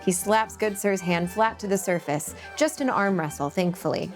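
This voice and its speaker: A woman hosting a table read, chatting briskly and then reading stage directions aloud. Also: mid-range voice, faint music behind. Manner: brisk, upbeat, laughs easily. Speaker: host and script narrator.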